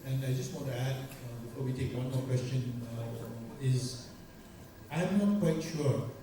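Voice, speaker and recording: A man moderating a conference panel, pausing often with fillers and restarts. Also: mid-range voice, noisy background. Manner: halting, many fillers and restarts. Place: large conference hall. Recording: echoey conference hall from far off.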